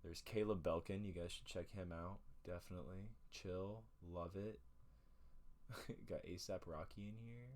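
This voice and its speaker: Deep-voiced rambling male music host. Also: fairly even intonation, unhurried, darkish tone. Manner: rambling, self-conscious, laid-back. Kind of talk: casual music show chat.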